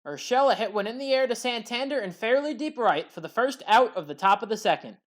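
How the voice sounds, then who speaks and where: A woman giving a scripted play-by-play recap of baseball highlights in a measured, factual way. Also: lively intonation. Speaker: highlights commentator.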